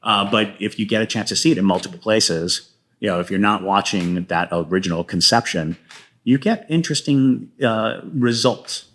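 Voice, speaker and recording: Male playwright guest, low voice. Conversational interview, enthusiastic, halting and discursive. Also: fairly bright tone.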